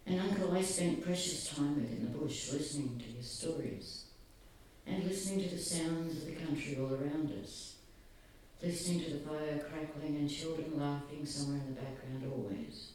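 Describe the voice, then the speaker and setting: A soft-spoken man giving an earnest, halting public talk, often pausing. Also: fairly high pitch, a little hiss or hum. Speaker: speaker at a gathering. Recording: very echoey, distant, faint ambience.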